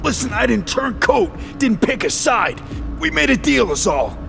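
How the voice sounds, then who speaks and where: A man's voice: very high-pitched, sing-song, normal speed, gravelly, exaggerated voice. An actor playing a tough, cynical male street mercenary with an American accent and terse, wary delivery in a video game. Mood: defiant. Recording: music behind the voice.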